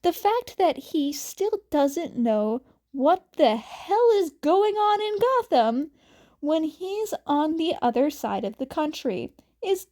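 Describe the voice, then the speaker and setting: Woman, very high voice, slow pace, scripted story narration, amateur reader. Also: mellow. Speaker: amateur fan fiction reader.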